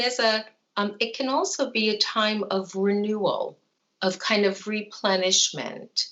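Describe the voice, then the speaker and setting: Woman in her 50s musing on a video call, lots of you knows, lo-fi sound. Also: medium pitch. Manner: reflective, animated hand gestures, many you-knows. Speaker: psychologist guest. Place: home room with plant and bookshelf. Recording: dull lo-fi video call audio.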